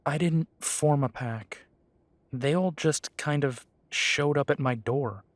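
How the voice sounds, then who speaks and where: A man's voice: a little high, slow, no room sound. A man playing a guarded, self-doubting young half-breed werewolf in an audio drama, blunt and defensive. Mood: doubtful, defensive. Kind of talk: scripted roleplay audio drama.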